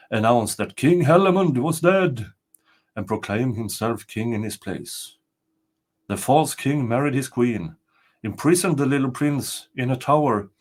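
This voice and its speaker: A man's voice: on the low side, a little mellow. An older man narrating a folktale as a storyteller, reading from a written text. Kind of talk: scripted folktale narration, read aloud.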